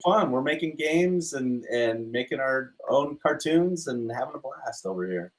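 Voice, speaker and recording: A man from Utah with a medium-pitched voice talks as a guest in a casual interview. His delivery is easygoing and conversational. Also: animated, unhurried, rough recording. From Utah, United States. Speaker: interview guest, animation studio co-founder. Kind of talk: casual chat.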